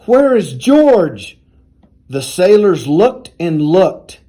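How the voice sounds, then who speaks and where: A man reading a children's storybook aloud in a deliberate, expressive storytelling manner. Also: sits high. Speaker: university athletics director reading aloud.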